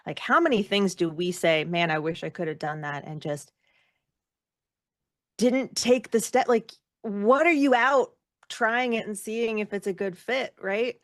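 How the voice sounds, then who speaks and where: A woman's voice: medium pitch, a little slow, no room sound, studio quality. A woman giving casual, reflective interview answers as a guest, candid and thinking aloud with frequent self-corrections.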